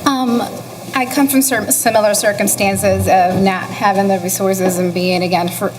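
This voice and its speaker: A woman's voice: mid-range voice, fairly even intonation, normal speed, big-room sound, background noise. A woman from the Republic, speaking earnestly as a panelist and searching for her words. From Dominican Republic. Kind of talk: panel discussion. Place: event hall, live panel.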